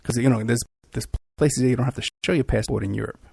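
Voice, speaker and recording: A man hosting a podcast, ranting in an animated, repetitive talk-radio monologue, hoarse and losing his voice. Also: medium pitch, fast talker, dry recording. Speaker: podcast host.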